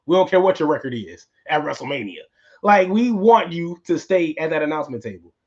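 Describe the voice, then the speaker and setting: Tired, slightly high-voiced podcast man. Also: very animated, a little fast, darkish tone, highs cut off. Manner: casual, rambling, repeats himself. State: tired, voice going hoarse. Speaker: podcast host. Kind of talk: solo wrestling recap podcast.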